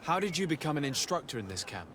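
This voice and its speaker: An actor playing a polite, inquisitive noble diplomat in a video game, with formal, probing speech. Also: male voice, on the high side, sing-song, fast. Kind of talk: video game cutscene acting. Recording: outdoor ambient noise throughout.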